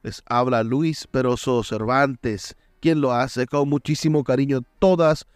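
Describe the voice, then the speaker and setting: Smooth Venezuelan male radio host. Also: medium pitch, steady tone, measured pace, dry recording, clean studio sound. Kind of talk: radio presenting.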